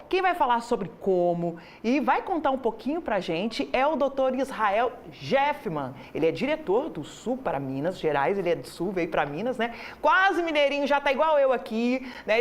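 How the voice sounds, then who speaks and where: A woman from Paraná hosting a Brazilian daytime TV show, chatting warmly with her guest and tagging her questions with "né". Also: fairly high pitch. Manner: chatty, enthusiastic, many "né" tags. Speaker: TV show host. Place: TV studio.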